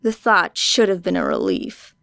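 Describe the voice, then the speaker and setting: A woman reading a fan fiction audiobook in a measured narration. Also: fairly low pitch, huge pitch swings, creaky, fairly bright tone, no room sound. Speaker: podfic reader.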